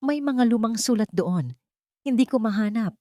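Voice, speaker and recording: A worried, frail older father speaks in a halting, bewildered way in a dramatic audio story, as a woman narrator puts him on. The delivery is weak and unsure. The sound is dry and close. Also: on the high side, expressive, mellow, no room sound, pitched above the performer's own voice, close to an everyday voice.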